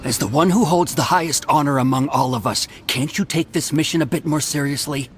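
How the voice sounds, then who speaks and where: An actor playing a stern warrior devoted to honor in a video game, earnest and lecturing. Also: male voice, fairly high pitch, fairly quick, a little hiss or hum, natural voice. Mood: stern, reproachful. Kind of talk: video game character acting.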